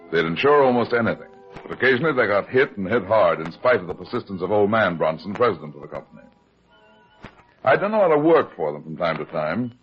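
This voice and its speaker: Big tired radio gumshoe, deep husky voice, dry n clipped, old muffled broadcast sound. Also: male voice. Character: world-weary heavyset male private detective, unhurried and skeptical. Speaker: detective narrator. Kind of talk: old-time radio detective drama acting.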